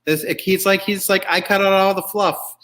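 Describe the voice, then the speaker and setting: A man with a slightly high voice hosts a choral music show. He chats casually and runs game-style banter, wandering into asides and interrupting his own sentences. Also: fairly even intonation, moderate pace, a bit bright. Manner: chatty, digressive, self-interrupting.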